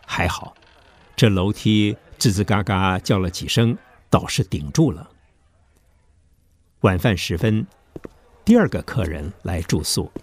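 A man's voice: deep voice, animated, unhurried, long pauses, husky. A man narrating a scripted story in Mandarin as the storyteller of an audio drama. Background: background music.

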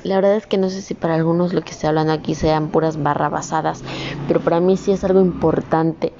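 A woman's voice: low voice, fairly even intonation, fast, dull audio, background noise. A young woman, a communications student, talking in a rambling, earnest personal vlog that rises into emphatic scolding. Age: young adult.

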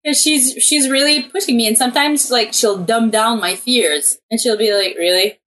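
A woman's voice: fairly high pitch, moderate pace, fairly bright tone, rough recording. Casual French Canadian woman. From New Brunswick, Canada. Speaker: interview guest, hairstylist. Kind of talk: casual, anecdotal interview chat.

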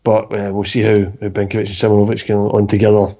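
A man's voice: on the low side, completely flat delivery, fast, warm tone. Man talking football, lo-fi. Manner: hesitant, many restarts. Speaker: podcast co-host. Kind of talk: casual football chat. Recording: dull lo-fi sound.

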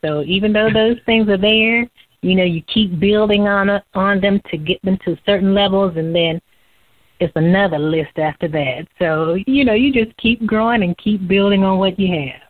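A woman's voice: medium pitch, steady tone, normal speed, no room sound. A young woman from Central Florida with a light Southern twang, chatting easygoing as a radio show guest. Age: young adult. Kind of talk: casual interview chat. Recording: thin phone line, dry.

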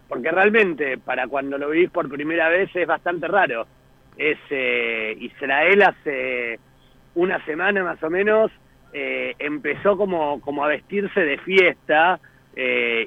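A man's voice: fairly high pitch, no echo. A male journalist, speaking by phone in an interview and hesitating often with fillers and restarts. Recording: tinny phone line.

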